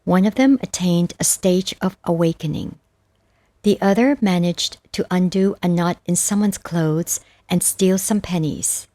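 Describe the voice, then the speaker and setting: A woman reading scripted spiritual stories and verses as a narrator, in a measured, solemn manner. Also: on the low side, warm tone, dry recording. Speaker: audiobook narrator.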